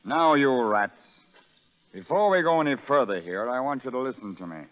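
An actor playing a sadistic, vengeful prison sergeant who taunts and barks orders in a 1930s radio drama. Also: male voice, mid-range voice, lively intonation, normal speed, natural voice. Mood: furious, bullying. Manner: menacing, taunting, barking orders. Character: sadistic, vengeful male prison sergeant bullying new convicts. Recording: narrow muffled 1930s radio broadcast, reverberant.

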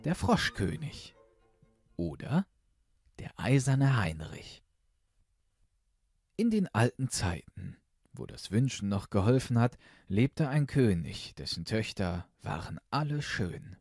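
A man's voice: on the low side, sing-song, takes it slowly, long pauses, a little mellow, breathy edge, no room sound, clean studio sound, faint music behind. A man narrating a fairy tale as an audiobook, reading expressively from a script.

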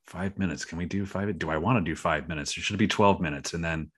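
A man's voice: low-pitched, fast talker, husky. A man, talking casually as a guest on a podcast. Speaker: podcast guest, writer-creator. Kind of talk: casual interview chat.

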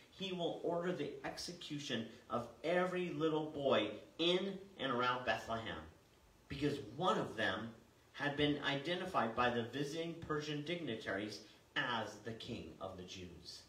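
An older man preaching a sermon as a pastor, reading scripture and explaining it in a measured, emphatic way. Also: a little high, bright, forceful tone. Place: small church sanctuary, indoors. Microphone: far camera. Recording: roomy, distant mic, faint noise.

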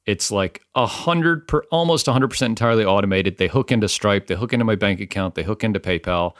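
Chatty low-voiced man hosting a podcast. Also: fast talker, a bit bright, no echo, studio quality. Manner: chatty, lots of fillers and restarts. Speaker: podcast host. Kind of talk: casual conversational interview. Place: home in a small village.

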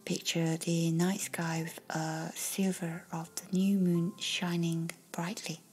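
A woman leading a guided meditation in a soft whisper, slow and calm. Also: on the low side. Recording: faint music behind.

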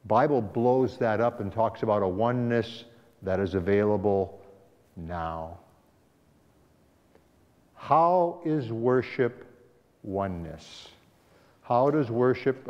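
A man's voice: medium pitch, expressive, slow, pauses often. A male pastor teaching a Sabbath school class, talking it through conversationally and asking the class questions. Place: church sanctuary. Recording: dull echoey church hall recording.

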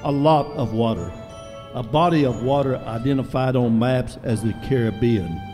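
A man's voice: medium pitch, moderate pace, lightly acted. A man playing a mock-ominous narrator in an old-time radio horror parody, dramatic in delivery. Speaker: narrator of a comic radio skit. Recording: echoey hall, music behind.

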